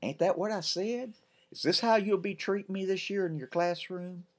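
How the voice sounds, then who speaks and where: A man with a deep voice narrating, here voicing a chatty, cheeky ten-year-old country boy in a raised pitch. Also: moderate pace.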